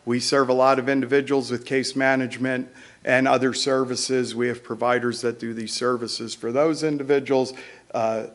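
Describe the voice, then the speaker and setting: A man in his fifties, a county behavioral health administrator, gives a loud, blunt and brisk report at a public meeting, standing back from the microphone. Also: mid-range voice, flat delivery. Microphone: speaking away from the microphone.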